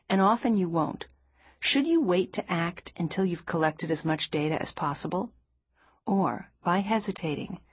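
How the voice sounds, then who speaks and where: A woman narrates nonfiction in a measured, even way. Her voice sits a little low and carries a husky edge. The recording is dry and close, with no room sound. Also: no echo. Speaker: audiobook narrator.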